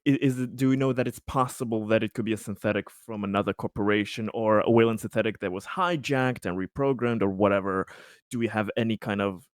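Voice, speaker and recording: A man's voice: medium pitch, clean tone, no echo, studio quality. A man playing a tabletop game, describing his character's actions and searching for words as he goes. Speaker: tabletop roleplaying game player.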